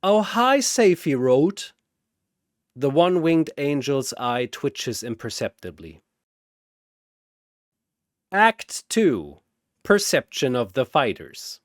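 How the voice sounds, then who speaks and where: A man's voice: medium pitch, very animated, slow pace, pauses often, no echo, clean studio sound. A man giving dramatic scripted narration as a storyteller.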